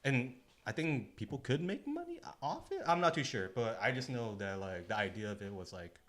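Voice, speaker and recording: A man's voice: mid-range voice, sing-song, a little fast, a bit bright. A man co-hosting a podcast, explaining things in casual, slangy conversation. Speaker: podcast co-host. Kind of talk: casual chat.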